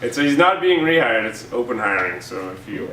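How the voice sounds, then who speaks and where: Young man, low voice, deadpan satirical co-host, casual banter, roomy sound, faint noise. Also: normal speed, far from the mic. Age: young adult. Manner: sarcastic, deadpan joking. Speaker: co-host of a campus humour paper's show.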